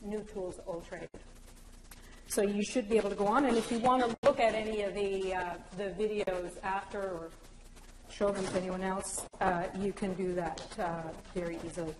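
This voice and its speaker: A woman's voice: mid-range voice, steady tone, moderate pace. A woman from Newfoundland giving a conference presentation, explaining ideas and slipping in anecdotes. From Newfoundland, Canada. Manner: explanatory, joking aside with anecdotes. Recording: echoey hall.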